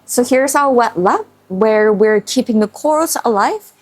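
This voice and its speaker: Hong Kong-accented woman scientist, measured speech. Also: a little high, lively intonation. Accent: Hong Kong English. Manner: measured, thoughtful, with frequent um and uh. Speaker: marine scientist and company founder. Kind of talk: documentary interview.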